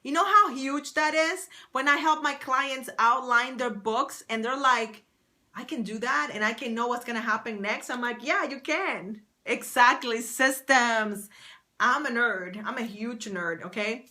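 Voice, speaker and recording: Woman, writing coach, live-stream host, bright higher voice, bubbly and repetitive. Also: measured pace. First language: English. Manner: enthusiastic, repetitive, reads out viewer names. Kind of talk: live stream chatting with viewers.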